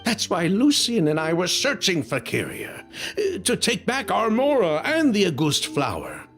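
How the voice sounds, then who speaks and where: A man's voice: sits high, big pitch swings, normal speed, fairly bright tone, soft background music, full character voice. A man voicing a bitter, guilt-ridden male schemer who speaks defiantly. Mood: regretful.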